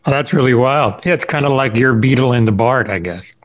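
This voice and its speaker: A man's voice: medium pitch, brisk. A man hosting a podcast interview in an informal, affable and encouraging conversational way. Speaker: podcast host.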